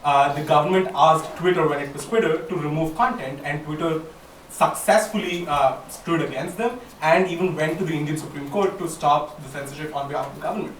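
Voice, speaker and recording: A man asks an earnest, pressing question from the audience of a conference hall, stopping now and then to find his words. His voice is a touch higher than usual, heard from off-mic in a roomy hall with faint ambience. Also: brisk, a bit bright, far from the mic, a little hiss or hum. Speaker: audience member asking a question.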